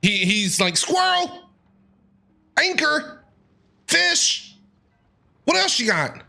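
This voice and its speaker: A woman's voice: high voice, big pitch swings, drawn-out words, gravelly, sharp tone. A woman doing casual, playful, teasing gameplay reaction commentary. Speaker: streamer.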